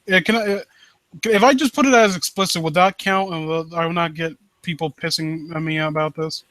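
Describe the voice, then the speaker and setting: A man's voice: on the high side, fairly even intonation, fairly quick, fairly bright tone, no echo, low-quality recording. A man hosting a live stream in casual chat, blunt and given to swearing. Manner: blunt, profane. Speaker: host of a live critique stream.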